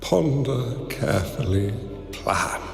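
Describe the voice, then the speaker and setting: A man playing a wise, solemn old mentor in a game cutscene, speaking in a grave, measured way. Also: mid-range voice, animated, gravelly. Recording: roomy reverb with game music behind.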